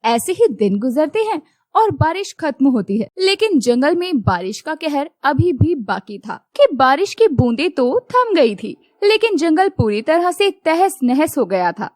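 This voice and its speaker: A woman narrating a children's cartoon story in a scripted, expressive storytelling manner. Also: high-pitched, measured pace, few pauses, no echo, studio quality.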